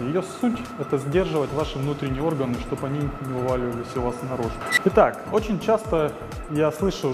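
A man in his thirties, a fitness trainer, explaining ab exercises in a gym on a lapel mic, pausing with fillers. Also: on the high side. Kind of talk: gym workout tutorial. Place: large indoor gym. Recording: echoey gym with music behind.

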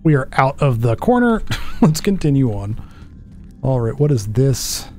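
A man in his thirties with a headset, chatting and joking to himself through a horror game, mocking his own mistakes and jumping up in pitch when something startles him. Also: sing-song, unhurried, a little mellow, faint music behind. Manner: chatty, self-mocking, jumpy pitch swings. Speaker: gaming channel host. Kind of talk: horror game let's play commentary. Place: small dark room at a desk. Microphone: headset with desk mic.

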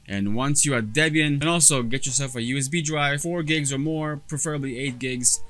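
A man's voice: medium pitch, moderate pace, fairly bright tone. A man in his thirties whose first language is Polish, talking casually through a step-by-step computer tutorial from his workshop on a lapel mic, with frequent fillers and restarts. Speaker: data recovery technician. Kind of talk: casual screen-recorded tutorial. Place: cluttered workshop or lab, indoors. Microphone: clip-on lapel mic.

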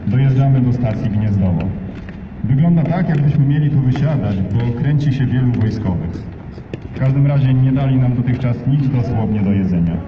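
A man's voice: medium pitch, animated, moderate pace. Grave man reading over distant speakers. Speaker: reader of diary excerpts. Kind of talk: solemn reading over loudspeakers. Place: outdoor street march in a city. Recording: distant PA in open air, echoey, dull, noisy.

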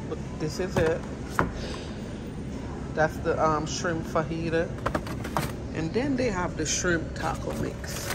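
Slow-talking woman filming in a store. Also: on the low side. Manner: relaxed, reads labels aloud in short bits. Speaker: shopping vlogger. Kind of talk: casual shop-with-me narration. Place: indoors in a grocery store. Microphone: phone held while filming. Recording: loud store background noise.

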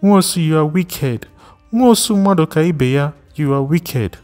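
A man doing a shrill, wailing pregnant wife. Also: animated, a little slow, a little mellow, soft background music, close to an everyday voice. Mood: accusing. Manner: wailing, scolding, rising to cries.

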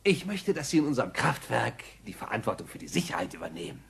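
An actor playing a scheming, imperious old cartoon tycoon with a raspy voice, oily and sardonic, in a German-dubbed audio play. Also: male voice, medium pitch, very animated, fast, a bit bright, full character voice. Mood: oily, persuasive.

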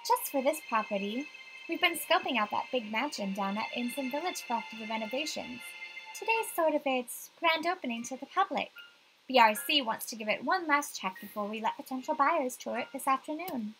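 Woman as earnest, jittery young game heroine, bright-voiced. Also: high voice, lively intonation, fairly quick, soft background music, low-quality recording. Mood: matter-of-fact.

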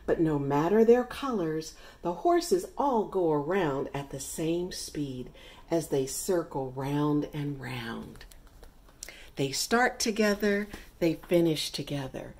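A middle-aged woman reading her own children's picture book aloud, with deliberate, expressive phrasing for young listeners. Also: deep voice. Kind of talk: read-aloud children's story narration.

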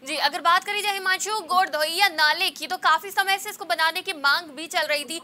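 A woman's voice: very high voice, normal speed, bright, forceful tone. A young woman anchoring live news, putting long, formal questions to a reporter. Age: young adult. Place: indoor TV studio.

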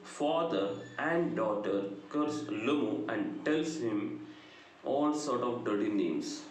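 A middle-aged man with a South Indian accent giving a lecture, retelling a story deliberately with pauses between phrases. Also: medium pitch, lively intonation. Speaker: English lecturer. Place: small bright indoor room. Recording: very echoey room, faint background noise.

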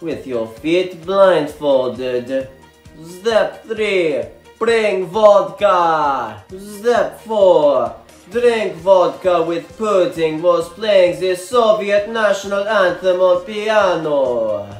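A boastful, gruff Russian figure drawls his broken English with a heavy Russian accent and a flat, deadpan menace. His voice sits high and moves very slowly. Faint music plays under an echoey room sound. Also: exaggerated voice.

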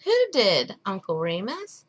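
A woman's voice: medium pitch, huge pitch swings, slow, breathy edge, heavily acted. A woman voicing a curious little boy who eagerly questions a storyteller.

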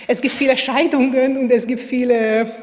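A woman's voice: fairly high pitch, fairly even intonation, unhurried, darkish tone. Hungarian-accented woman in an echoey hall. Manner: hesitant, searching for words. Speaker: author. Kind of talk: literary reading and festival interview. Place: gallery room at a literature festival. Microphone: table mic on a stand.

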